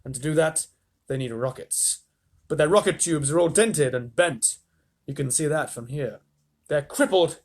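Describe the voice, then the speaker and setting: A man's voice: fairly high pitch, normal speed, natural voice. A man voicing an eccentric inventor who explains things patiently and sternly. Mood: calm explaining. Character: calm eccentric grown man, sweet-maker inventor.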